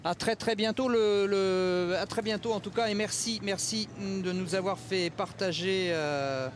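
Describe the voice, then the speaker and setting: A man's voice: sits high, steady tone, a little slow. A male radio host interviewing a caller in a chatty, informal way. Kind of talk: studio interview.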